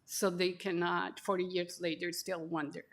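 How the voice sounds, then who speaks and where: A woman in her sixties from Mexico City, a native Spanish speaker with a Mexican Spanish accent, telling her personal story at a conference session, halting and full of fillers. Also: on the low side, flat delivery. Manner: slow, halting, with frequent fillers. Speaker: call center representative and speaker. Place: conference breakout room.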